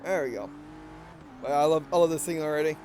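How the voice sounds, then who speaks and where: A man with a mixed Southern and Russian accent talks through a racing game in a slightly high voice. He rambles and corrects himself between excited reactions. Engine and game sounds run loudly behind him. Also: quick pace, a little hiss or hum. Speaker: let's play gamer. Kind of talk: casual gameplay commentary.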